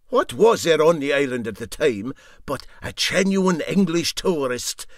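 A man voicing an indignant old Highland boat skipper telling tall tales emphatically and drolly in a Scottish accent. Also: no room sound, clean studio sound.

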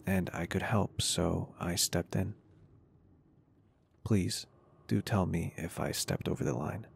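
A male performer plays a confident, protective businessman in an intimate ASMR roleplay. His voice is low with a gravelly fry, and he talks in a polite, reassuring and earnest way. There is noticeable background noise behind him. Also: slow, full character voice. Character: caring, flirty, confident male business owner, gently protective.

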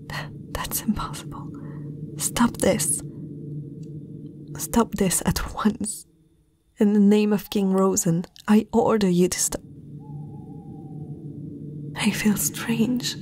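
Woman playing a slow, whispering young princess. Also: mid-range voice, darkish tone, lightly acted. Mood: alarmed, indignant. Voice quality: breathy whisper. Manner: slow, hesitant, dreamy delivery. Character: young princess, haughty yet yielding to a vampire's spell. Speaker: ASMR roleplay performer. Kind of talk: whispered ASMR roleplay.

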